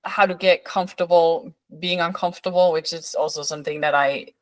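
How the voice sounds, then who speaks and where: A woman's voice: lowish voice, fairly even intonation, a little fast, no room sound. A woman from Denmark hosting a podcast interview, talking in a rambling way with many restarts and appreciative remarks. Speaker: podcast host.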